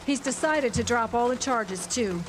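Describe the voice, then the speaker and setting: A woman's voice: fairly high pitch, moderate pace, natural voice. An actress playing a tough, jaded small-town diner woman, dry and sardonic, in a noir radio drama. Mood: matter-of-fact. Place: outdoor amphitheater stage. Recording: faintly noisy outdoor live recording.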